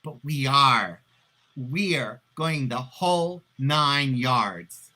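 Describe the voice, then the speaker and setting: A man playing an evasive, excitable cheating husband in a comedic play reading, given to hedging and emphatic outbursts. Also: medium pitch, drawn-out words, heavily acted. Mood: persuasive. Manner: hesitant hedging that swells into loud emphatic outbursts. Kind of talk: live play reading, comedic stage acting.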